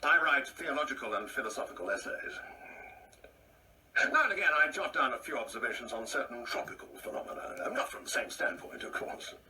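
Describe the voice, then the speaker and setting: An actor playing an ageing English gentleman in a radio play, pompous, brusque and uneasy, rambling in clipped phrases. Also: medium pitch, big pitch swings, a little fast. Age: older. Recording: distant mic, faint background noise.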